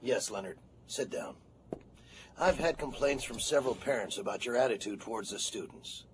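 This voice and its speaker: A man's voice: mid-range voice, moderate pace, a little hiss or hum, lightly acted. An actor playing a mild, slightly awkward male school administrator addressing a member of staff. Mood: uneasy.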